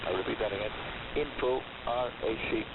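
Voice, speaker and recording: Male radio host, brisk and gravelly delivery with deliberate repetition, narrow shortwave sound. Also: medium pitch. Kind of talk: scripted radio program narration on technical hobby topics. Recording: hissy, noisy shortwave radio reception. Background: radio static and hiss.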